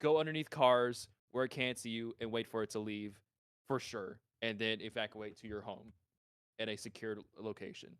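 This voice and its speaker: Male podcast co-host, low clean voice, close dry sound, informal and animated discussion. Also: moderate pace, smooth, no room sound.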